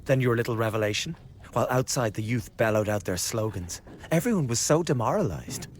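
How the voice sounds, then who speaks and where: An actor playing a glib, scheming young revolutionary in a radio drama, chatty and insinuating with a blackly flippant manner. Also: male voice, medium pitch, expressive, fairly quick, slight background noise, natural voice. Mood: mocking, animated. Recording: studio radio drama with background ambience.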